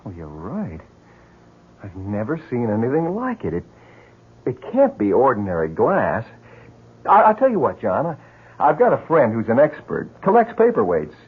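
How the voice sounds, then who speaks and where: An actor playing a friendly, mild-mannered neighbour in a 1970s radio drama, affable and a little hesitant. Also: male voice, medium pitch, wildly swooping pitch, normal speed, breathy edge, full character voice. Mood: taken aback. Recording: muffled, tinny 1970s radio broadcast.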